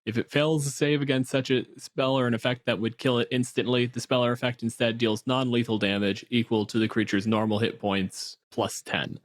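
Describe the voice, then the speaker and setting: A man co-hosting a casual tabletop game discussion, explaining things in an animated way and swearing freely. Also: medium pitch, a little fast, few pauses. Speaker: podcast co-host.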